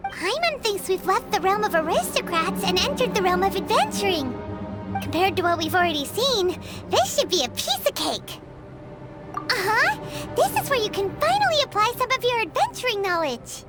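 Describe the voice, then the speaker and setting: A woman voicing a tiny, childlike fairy companion in a video game, excitable and cheeky, with music behind her. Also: normal speed. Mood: confident. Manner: excitable, squeaky, speaks of herself in third person.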